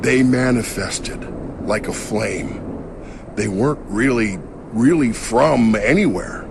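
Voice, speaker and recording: A man playing a weary, regretful middle-aged creator of a digital world in a game cutscene, speaking gravely and haltingly. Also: mid-range voice, background noise. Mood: wistful, reflective. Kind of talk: dramatic game cutscene acting.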